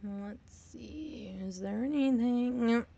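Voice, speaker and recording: A woman streaming a game, slightly hoarse, talking to herself with dry asides as she plays. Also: fairly high pitch, slow, dark tone, no echo, muffled recording. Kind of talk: casual gameplay commentary.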